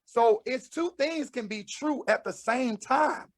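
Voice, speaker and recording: A man's voice: very high voice, takes it slowly, no room sound, studio quality. A man hosting a casual group chat on a spoken-word show, preachy and teasing by turns. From St. Louis. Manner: preachy and teasing, calls people out with jokes. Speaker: host.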